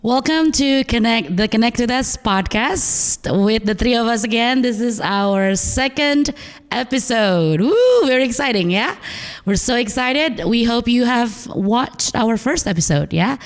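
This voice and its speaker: Female podcast host, hoarse, cheerful and questioning, reverberant room. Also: fairly high pitch, animated, measured pace. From Indonesia. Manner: upbeat, laughs often, asks questions. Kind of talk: casual podcast chat.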